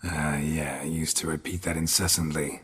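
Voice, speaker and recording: An actor playing a gruff, stoic, weathered monster hunter in a video game, laconic and deadpan, with a gravelly voice. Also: male voice, very deep voice, normal speed, in a large hall, full character voice. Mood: dry, reassuring. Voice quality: gravelly, husky. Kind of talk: video game dialogue acting.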